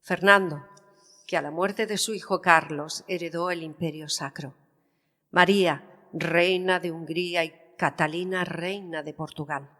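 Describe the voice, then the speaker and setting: A woman's voice: fairly low pitch, fairly even intonation, measured pace, pushed and bright. An actress playing a proud, bitter, passionate queen, speaking in an emphatic, theatrical manner in an audio drama. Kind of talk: dramatised historical interview, audio fiction. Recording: long reverberant hall sound. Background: violin music.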